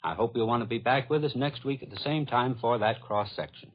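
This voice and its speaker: A man's voice: mid-range voice, brisk, breathy edge. An American man hosting a 1940s radio public-affairs program, summing up in an earnest, emphatic way. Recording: muffled 1940s radio broadcast recording.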